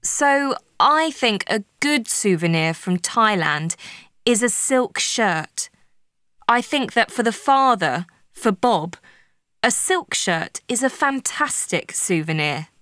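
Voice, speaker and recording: A woman in a paired speaking-task discussion, talking deliberately and prompting her partner with questions. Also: medium pitch, animated, a bit bright, no room sound, studio quality.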